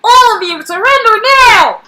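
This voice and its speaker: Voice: very high-pitched, lively intonation, moderate pace, faint music behind, pitched above the performer's own voice, full character voice. A woman voicing a commanding guard who barks urgent orders in a game drama, with noise behind. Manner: shouted, commanding. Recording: noisy, ambience behind.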